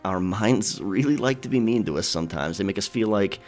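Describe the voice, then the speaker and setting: A man playing a friendly, caring pirate captain in a soft, chatty, encouraging ASMR check-in. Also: on the low side, lively intonation, brisk, soft background music, natural voice. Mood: warm, reassuring. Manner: encouraging, chatty, hesitant, chuckling often. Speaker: ASMR storyteller and streamer.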